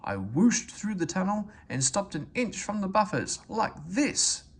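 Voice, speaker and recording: A man's voice: high voice, measured pace, breathy edge, pitched above the performer's own voice, full character voice. A man voicing an eager, boastful young male tank engine for a storybook read-aloud. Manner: eager, excited.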